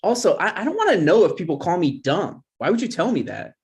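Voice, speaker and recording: A man's voice: sits high, huge pitch swings, fast talker, heavily acted. Guy acting insecure twenties dude, defensive, voice swings all over, comedy read. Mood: earnest. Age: young adult. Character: insecure, defensive twenty-something boyfriend and son. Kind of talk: comedy script table read.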